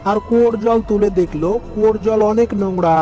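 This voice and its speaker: A narrator telling a cartoon story in a plain, steady manner. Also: mid-range voice, fast talker, over music.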